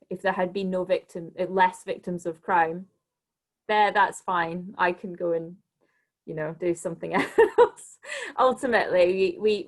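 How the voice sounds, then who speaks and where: A woman speaking as an arts director in an informal panel discussion, haltingly, often restarting her sentences. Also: on the low side.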